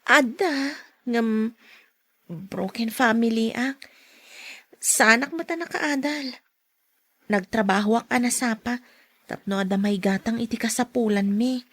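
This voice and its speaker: Radio drama ingenue played by a woman: polite, modest Ilocano daughter, very high voice. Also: unhurried, pauses often, fairly bright tone, no room sound. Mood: modest, shy. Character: polite, modest young working daughter, shyly courted.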